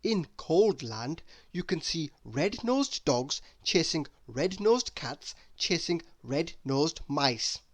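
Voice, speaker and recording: A storyteller reading a children's book aloud in an expressive narrating style. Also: mid-range voice, takes it slowly, hardly any pauses, no room sound.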